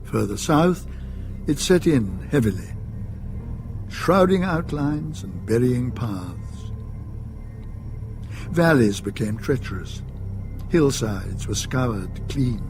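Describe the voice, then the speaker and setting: An actor as the solemn storyteller of a radio drama, narrating in a deliberate, literary manner. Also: male voice, medium pitch, animated, music in the background. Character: solemn, atmospheric male storyteller of medieval mystery.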